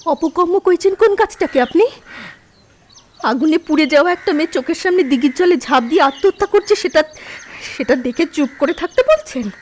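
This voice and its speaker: A woman's voice: very high voice, expressive, fairly quick, slight background noise. A woman playing a compassionate, outspoken landlord's wife in an audio horror drama, speaking emotionally in rustic, old-fashioned phrases. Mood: indignant.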